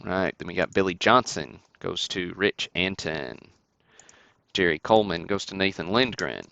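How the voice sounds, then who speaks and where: A man with a deep, smooth voice hosts a card break stream, reading out items and names in an easygoing, casual way. The sound is very dry and close. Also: normal speed, pushed and bright, no room sound, highs cut off. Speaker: host of a sports card break livestream. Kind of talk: casual livestream commentary, calling out cards and buyers.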